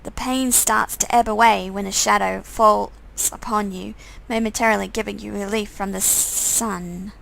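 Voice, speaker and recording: A woman's voice: mid-range voice, steady tone, normal speed, gravelly, few pauses, a bit bright, low-quality recording. A woman streamer reading a visual novel game aloud as second-person story narration.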